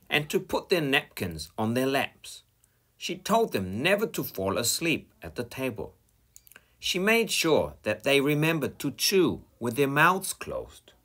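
A man reading a children's picture book aloud, slow and expressive. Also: medium pitch. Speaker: storybook reader.

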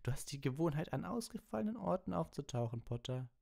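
A man's voice: a little high, big pitch swings, fast, gravelly, darkish tone, voice pushed up, heavily acted. A man voicing a cold, menacing schoolmaster with a drawling, suspicious manner, in an audiobook. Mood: suspicious, sneering.

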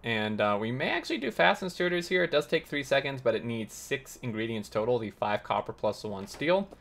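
A man hosting a gaming tutorial, slightly raspy as he loses his voice, explaining step by step while thinking aloud with many uhs. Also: medium pitch, lively intonation, brisk, a bit bright. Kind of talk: explaining gameplay while playing.

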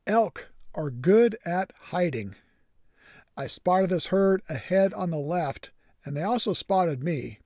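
A man's voice: high voice, animated, takes it slowly, a little mellow, no room sound. A man narrating his camping trip in a scripted voice-over, matter-of-fact with dry humor. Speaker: vlogger.

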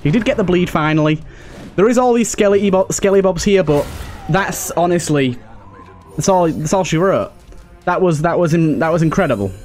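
Higher-voiced man doing casual game commentary. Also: expressive, moderate pace, darkish tone. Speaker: let's play host. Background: video game music.

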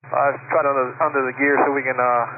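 A man's voice: medium pitch, flat delivery, quick pace, a crowd in the background. A man piloting a plane, making terse, businesslike calls over aircraft radio. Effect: aviation radio. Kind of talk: clipped radio communication. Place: small plane cockpit. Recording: tinny band-limited aircraft radio.

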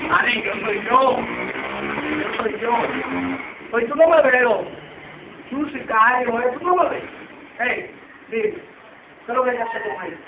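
A young man bantering loudly and teasing his friends in casual talk. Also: extremely high pitch, expressive, unhurried, pauses often, music in the background. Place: open-fronted barbershop with street grille. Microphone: handheld phone camera. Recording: echoey, distant, tinny phone camera audio.

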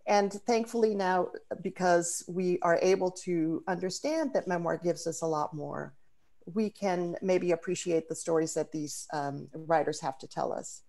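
A woman's voice: on the low side, unhurried, a little mellow. A woman from the Dominican Republic, a native Spanish speaker, hosting a video call event in a thoughtful way with frequent fillers and restarts. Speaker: host.

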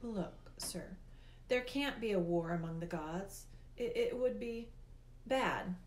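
A woman voicing a nervous, polite young boy hero in a storybook, hesitant and earnest, with lifting pitch. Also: slow, natural voice. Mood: anxious pleading. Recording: roomy, echoey indoor sound.

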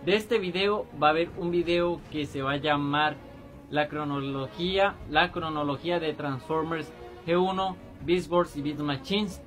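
A man's voice: on the high side, unhurried, soft background music. A young man in his twenties with a Costa Rican accent, chatting animatedly to fans from a small bedroom. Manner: animated, gestures a lot. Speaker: fan voice actor, channel host. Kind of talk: casual video chat to fans.

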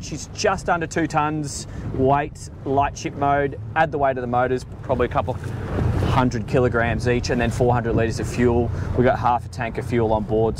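Chatty Australian man, boat host, noisy outdoors. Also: medium pitch. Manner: chatty, easygoing, jokey with filler ums. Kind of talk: casual on-camera walkthrough. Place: open boat on a harbour, outdoors. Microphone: lapel mic. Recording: outdoor wind and boat engine noise.

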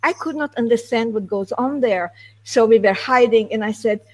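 An older woman from Romania whose first language is German, telling her life story with lively, animated, broken phrasing on a video call from a home living room. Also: fairly high pitch, normal speed, low-quality recording. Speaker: interview guest.

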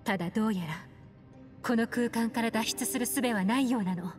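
A woman's voice: a little high, lightly acted. Actress as a cool woman adventurer in long reverb. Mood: composed. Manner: poised, measured, feminine phrasing. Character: cool, composed, mysterious adult woman adventurer. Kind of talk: Japanese anime-style game voice acting. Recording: long reverb, music underneath.

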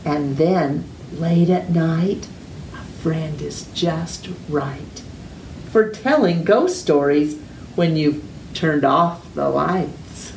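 A middle-aged woman reading a children's picture book aloud with deliberate, exaggerated storytime delivery and drawn-out words. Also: deep voice, vocal fry, darkish tone. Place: living room at home. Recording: roomy echo, distant mic, faint noise.

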